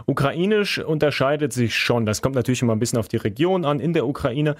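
Man explaining calmly in a dry sound. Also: mid-range voice, quick pace, dry recording. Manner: calm, plain explaining. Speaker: podcast co-host. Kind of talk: casual explanatory chat.

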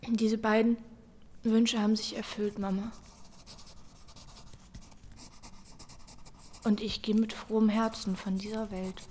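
An actress playing a condemned young mother in a German radio drama, tender and bravely composed, with a halting, breathy, often whispering delivery. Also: mid-range voice, little pitch movement, darkish tone, slight background noise, lightly acted. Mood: brave, loving.

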